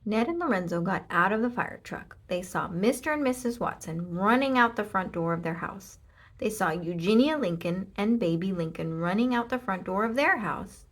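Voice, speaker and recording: A woman narrating a children's storybook in an expressive read-aloud style. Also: mid-range voice, normal speed. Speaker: storybook reader.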